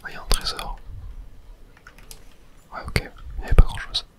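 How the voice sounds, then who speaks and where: A man speaks entirely in a soft whisper, close to the microphone. He murmurs casual video game commentary in an ASMR style, talking gently to his viewers as he plays. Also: moderate pace.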